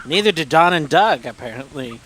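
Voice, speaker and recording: A man's voice: a little high, expressive, a little fast, heavy background noise. A man riffing comically over an old film with wry, sardonic asides. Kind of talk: mocking wisecrack commentary.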